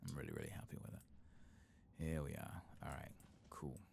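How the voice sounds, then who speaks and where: Very deep, gravelly soft-spoken ASMR man. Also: sing-song. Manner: unhurried, murmuring asides to himself. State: tired. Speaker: ASMR gaming streamer. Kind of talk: soft-spoken and whispered ASMR game commentary.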